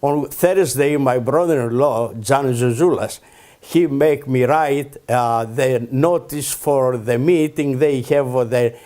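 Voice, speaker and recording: Older greek-accented man, very slow, halting, full of pauses and fillers, broken english. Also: medium pitch, mellow. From Greece. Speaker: interview guest. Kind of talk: oral history interview.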